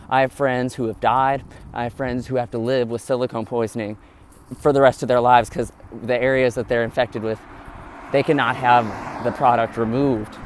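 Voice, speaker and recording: A man's voice: mid-range voice, a little hiss or hum. A man talking candidly and earnestly to his viewers in a casual vlog monologue. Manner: earnest, open, addresses viewers directly. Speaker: vlogger.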